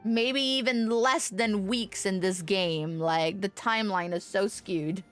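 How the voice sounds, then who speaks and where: A woman's voice: medium pitch, slow. A woman livestreaming a game, chatting casually with viewers in a playful, irreverent, slangy way. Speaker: game streamer. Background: soft game music.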